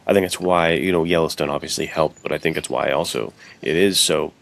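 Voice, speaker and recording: A man's voice: very deep voice, steady tone, fairly quick, gravelly. An American man co-hosting a podcast, talking casually and informally with an emphatic way of putting things. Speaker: podcast co-host. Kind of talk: casual chat.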